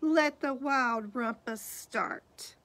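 A woman voicing a defiant, wild little boy from a storybook, with loud, commanding exclamations. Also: sits high, drawn-out words, heavily acted.

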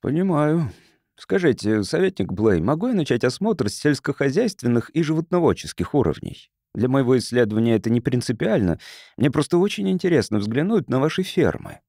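A man's voice: medium pitch, very animated, brisk, dark tone, dry recording, clean studio sound. A man voicing a courteous, curious visiting sociologist in an audiobook, polite and inquisitive. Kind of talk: audiobook character reading.

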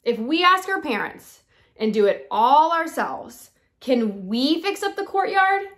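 A woman's voice: high voice, very animated, unhurried, a bit bright, voice pushed up, full character voice. A woman voicing an eager, persistent little girl from a children's storybook in an earnest, pleading manner. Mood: earnest, hopeful. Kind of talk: children's storybook read-aloud.

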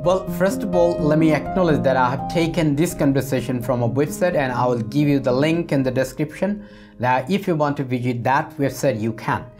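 A man's voice: mid-range voice, brisk, over music. A middle-aged man gives an explanatory spoken English lesson as a teacher, repeating phrases for emphasis. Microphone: lapel microphone.

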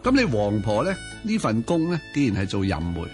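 Male radio co-host, Hong Kong Cantonese, rather low voice, analytical literary discussion with clear background music. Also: wildly swooping pitch, brisk. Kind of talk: conversational radio literary commentary.

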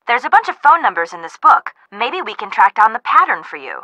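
A woman's voice: a little high, very animated, fairly quick, bright, forceful tone, dry recording, heavily acted, low-quality recording. A woman voicing a practical, sardonic young sidekick in a video game, with an American accent and a dry, matter-of-fact manner.

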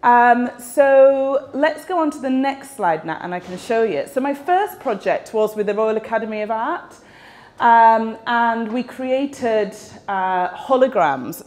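Young woman, West Yorkshire accent, fairly high voice, chatty talk with fillers, handheld mic. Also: darkish tone. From West Yorkshire, England. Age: young adult. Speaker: speaker at a tech meetup. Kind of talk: casual presentation talk. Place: large open event space with audience. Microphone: handheld microphone.

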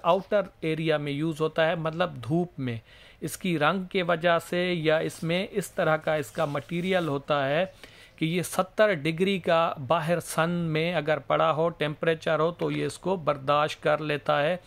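Higher-pitched man explaining. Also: vocal fry. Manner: repetitive, step-by-step explaining. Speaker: plumbing instructor and video presenter. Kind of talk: hands-on explainer, informal demonstration.

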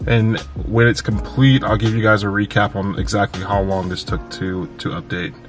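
Low-voiced man walking through a tutorial. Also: little pitch movement, moderate pace, soft background music. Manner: casual, repeats steps, many fillers. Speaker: car channel host. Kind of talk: step-by-step tutorial talk. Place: inside a parked car.

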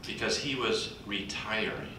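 An older man speaks from a podium in a big conference hall, his lowish voice folksy and broken by pauses. He is picked up from far off on a room recording, with the hall ringing around him and a steady rumble underneath. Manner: folksy, warm-humored, halting with pauses. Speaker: conference speaker introducing a colleague. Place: large conference hall, indoors. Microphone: podium mic, recorded from afar by a computer. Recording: distant echoey hall recording with background rumble.

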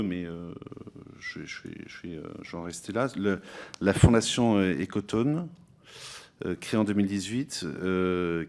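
A man in his thirties, acting as rapporteur and questioning witnesses in a parliamentary hearing, speaking haltingly with many restarts into a desk microphone in a large committee room. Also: low voice, a little mellow. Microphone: desk gooseneck microphone.

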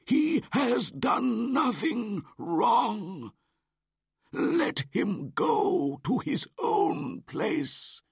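Guy doing an old wolf begging, high strained voice, slow. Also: big pitch swings, gravelly, breathy edge, dry recording, clean studio sound, exaggerated voice. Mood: pleading. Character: old male wolf leader, pleading.

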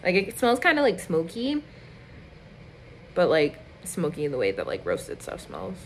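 A woman's voice: on the low side, wildly swooping pitch, moderate pace, slight background noise. A young woman in her twenties casually talking through a cooking vlog at home into a phone camera, rambling and self-mocking with lots of fillers. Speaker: cooking vlogger. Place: small home kitchen and apartment room.

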